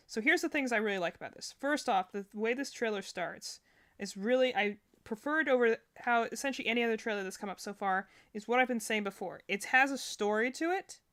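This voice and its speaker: A woman's voice: medium pitch, a bit bright. A woman giving casual, gushing live-stream reactions to game trailers. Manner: enthusiastic, gushing.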